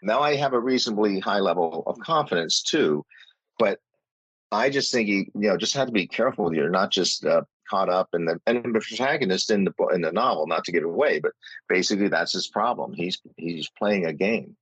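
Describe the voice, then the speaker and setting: A man's voice: deep voice, animated, fairly quick, fairly bright tone, breathy edge, no room sound, rough recording. A man speaking as a podcast guest, earnest and rambling, with many restarts and small laughs. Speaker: guest, company CEO. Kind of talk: reflective interview talk.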